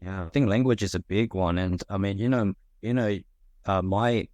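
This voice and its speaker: A man hosting a podcast, chatting thoughtfully with many fillers and pauses. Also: on the low side, a little mellow, dry recording. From Korea. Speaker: podcast host. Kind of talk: casual conversation. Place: Australia.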